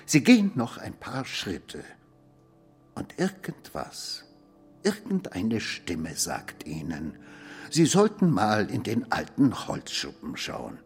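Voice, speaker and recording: An actor playing an insinuating police commissioner in a radio play, interrogating with theatrical, needling storytelling and pointed pauses. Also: male voice, on the low side, huge pitch swings, faint music behind, exaggerated voice. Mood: insinuating, suspenseful. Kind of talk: German radio play acting.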